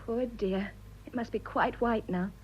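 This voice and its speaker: Young British wife, high breathy voice, nervous, gushing idealist, radio play, slightly dull recording. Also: female voice, measured pace. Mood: romantic, pleading. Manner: earnest, hesitant, gushing. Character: romantic, idealistic, nervous young English wife of twenty-five. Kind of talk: stage comedy radio drama acting.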